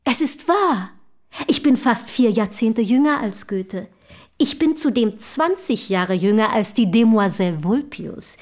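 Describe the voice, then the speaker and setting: A woman's voice: fairly high pitch, lively intonation, a little slow, a little mellow. An actress in a German radio play playing a forward, inquisitive young woman of the Romantic era, with formal, polite, probing diction. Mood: self-assured.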